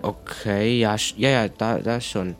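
A man with a slightly low voice improvises in an adventure audio play. He is conversational and hesitant, often searching for his words, with faint music underneath. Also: fairly even intonation, a little slow.

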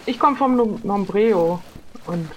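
Woman playing an eager would-be pirate, medium pitch. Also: lively intonation, slow, a little mellow, slight background noise, low-quality recording. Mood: eager, unsure. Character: lanky, eager young female would-be pirate. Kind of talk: improvised pirate role-play.

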